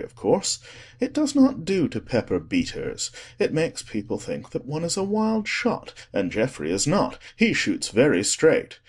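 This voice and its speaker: A man's voice: medium pitch, darkish tone, husky, heavily acted. A man voicing an unruffled older aristocrat, a cynical wit with a breezy, dismissive manner, in an audiobook. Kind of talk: dramatic audiobook character acting.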